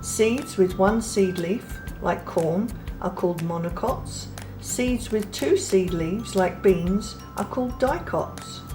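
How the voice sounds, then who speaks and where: A woman reads a children's picture book aloud, taking her time and letting soft pauses settle between phrases. Her voice sits at an ordinary middle pitch, and clear music plays under the whole reading. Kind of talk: read-aloud storybook narration. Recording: read over clear background music.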